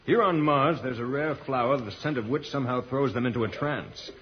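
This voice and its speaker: A man's voice: mid-range voice, a little fast, lightly acted. An American man playing a brave, earnest space hero in an old-time radio serial. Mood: grave, urgent. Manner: earnest, solemn. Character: brave, grave male space hero, planetary troubleshooter. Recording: muffled, noisy vintage radio recording.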